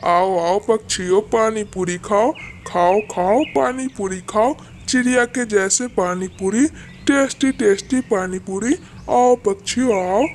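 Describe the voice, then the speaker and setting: A woman's voice: medium pitch, a little slow, a little hiss or hum, natural voice. A woman voicing a scheming, threatening male crow in a cartoon, with emphatic, repetitive delivery. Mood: hawking. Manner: emphatic, repeats threats and calls. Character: scheming, threatening male crow who runs a food stall. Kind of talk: Hindi cartoon dialogue.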